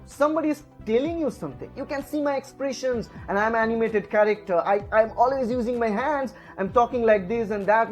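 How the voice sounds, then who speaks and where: A man from India, a native Bengali speaker with an Indian English accent, giving tips to camera with lively swings in pitch and many fillers. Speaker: educator. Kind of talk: lecture to camera. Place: room, alone with camera.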